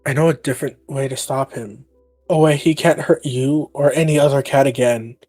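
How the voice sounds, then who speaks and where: A man's voice: medium pitch, steady tone, normal speed, natural voice. A man playing a serious, protective young healer cat in a roleplay audio drama. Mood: determined. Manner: gentle, serious, blunt. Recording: dry, music behind.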